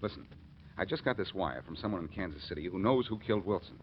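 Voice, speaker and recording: An actor playing a cocky, wisecracking amateur sleuth in a 1940s radio detective drama, glib and taunting. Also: male voice, deep voice, animated, quick pace. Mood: smug, teasing. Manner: glib, taunting, self-assured. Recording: muffled, noisy 1940s radio recording.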